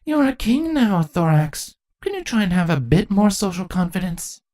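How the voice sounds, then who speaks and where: A man voicing a weary former changeling queen, female, who speaks in a dry, measured, firm way for a storybook reading. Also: sits high, big pitch swings, a little mellow, pitched above the performer's own voice. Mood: dry, cool.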